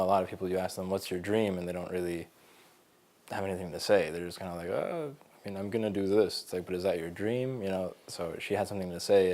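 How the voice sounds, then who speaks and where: A man in his twenties from Colorado, talking thoughtfully in a casual interview, pausing with fillers as he finds his words. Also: deep voice, a little mellow. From Colorado, USA. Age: 20s. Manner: thoughtful, hesitant, many fillers. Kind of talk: casual interview, personal story.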